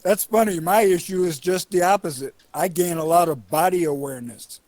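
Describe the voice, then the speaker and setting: A man calmly explaining his own experience in a casual online group chat. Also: high-pitched, brisk, no room sound. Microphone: online call.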